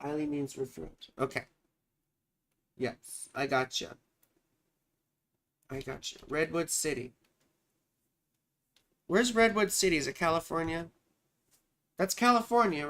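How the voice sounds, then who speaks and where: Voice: medium pitch, expressive, unhurried, long pauses, fairly bright tone. A chatty astrologer hosting a live stream, giving casual chart readings, talking straight to viewers and often repeating phrases. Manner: chatty, repeats her own phrases, addresses viewers directly.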